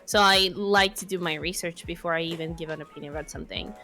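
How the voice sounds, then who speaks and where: A woman in her twenties from Mexico with a Mexican Spanish accent, a podcast guest speaking casually in English, her second language, pausing and restarting to find her words. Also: on the low side, steady tone, pushed and bright, faint music behind. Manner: thoughtful, searching for words, many restarts. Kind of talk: casual conversation.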